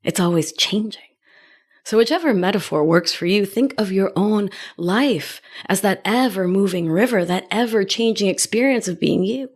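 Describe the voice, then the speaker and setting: A woman's voice: mid-range voice, normal speed, a little mellow. Playful woman coaching listeners. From Argentina. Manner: playful and affectionate, with pet names and asides. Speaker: podcast host and life coach. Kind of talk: warm coaching monologue.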